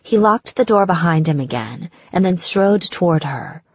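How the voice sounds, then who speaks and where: A woman narrating a romance audiobook in an expressive, measured storytelling manner. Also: on the low side, breathy edge, no echo, dull audio. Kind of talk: scripted romance fiction narration.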